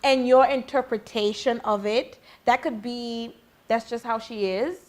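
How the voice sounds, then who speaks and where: A woman on a talk panel, speaking lively and acting out what others might say. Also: a little high, a little slow. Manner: lively, acts out quoted voices. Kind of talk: casual panel chat.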